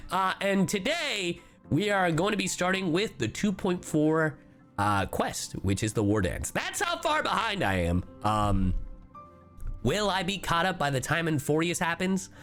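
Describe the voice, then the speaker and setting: A man streaming a video game live, chatting casually in an animated, exclamatory way with some swearing. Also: a little high. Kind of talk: casual livestream chat and game reactions.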